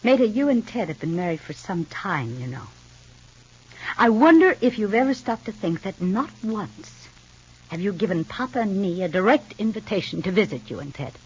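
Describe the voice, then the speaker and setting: A woman's voice: medium pitch, sing-song, moderate pace, a little mellow, slightly rough. An actress in an old radio soap opera playing a resentful, defensive mature single woman with pointed retorts. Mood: reproachful. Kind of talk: 1940s-50s radio soap opera acting. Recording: muffled old radio recording.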